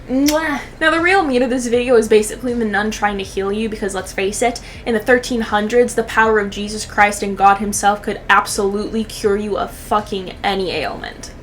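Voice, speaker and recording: Higher-voiced sarcastic woman doing commentary. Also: slight background noise. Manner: sarcastic, hyperbolic, swears freely. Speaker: YouTube commentary host. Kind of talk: casual comedic reaction commentary.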